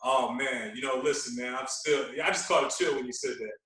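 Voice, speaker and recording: A man's voice: medium pitch, a little fast, bright, forceful tone. Guy podcast guest telling stories casually, repeats himself, super echoey room, kinda far from the mic. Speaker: podcast guest, veteran radio host. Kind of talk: casual chat, storytelling.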